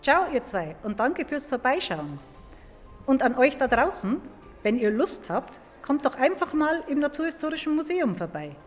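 High, lively woman explaining in an echoing hall. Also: moderate pace. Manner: clear, didactic phrasing. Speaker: museum paleontologist, expert guest. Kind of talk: explaining to young listeners. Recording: echoing hall, music behind.

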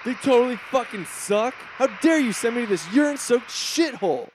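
A man's voice: very high-pitched, lively intonation, fairly quick, noisy background. An actor voicing a spoiled, arrogant teenage rich boy in a comedic cartoon, petulant and pompous in manner. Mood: whiny, indignant. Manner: pompous, petulant, foul-mouthed.

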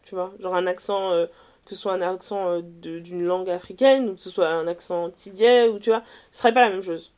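Young Frenchwoman talking casually, animated and self-correcting. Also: on the low side, brisk, clean tone. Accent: French, from France. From France. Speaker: interview guest, writer and columnist. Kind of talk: casual conversational testimony.

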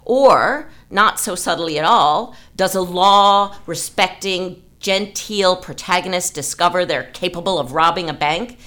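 A woman's voice: lowish voice, a little slow, hardly any pauses, a bit bright. A woman hosting a conversational literary podcast, explaining ideas and asking leading questions. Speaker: podcast host.

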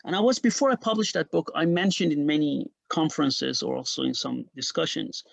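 Gravelly middle-aged Persian man, emphatic. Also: fairly quick, dry recording. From Iran. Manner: emphatic, restarts often, animated pitch jumps. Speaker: historian guest. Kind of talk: interview, explaining at length.

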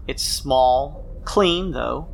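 A man's voice: medium pitch, very slow, vocal fry, slight background noise, rough recording. A man narrating a tabletop role-playing game as game master, improvising scenes in the second person.

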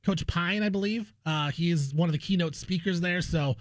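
A man's voice: high-pitched, moderate pace, no echo. A man hosting a sports talk show, chatting casually with joking, self-deprecating asides. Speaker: sports radio host.

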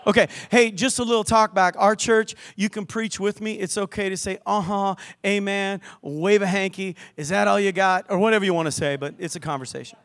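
Older man, pastor, high voice, lively sermon, chatty asides, church hall. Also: fairly quick, few pauses. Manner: lively, joking preacher with asides to the crowd.

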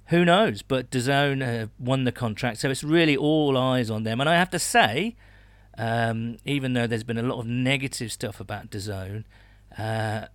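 A British man with a medium-pitched voice hosts a casual sports podcast. He rambles in a chatty way and corrects himself as he goes. The sound is dry, with no room around it. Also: dry recording. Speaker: podcast host.

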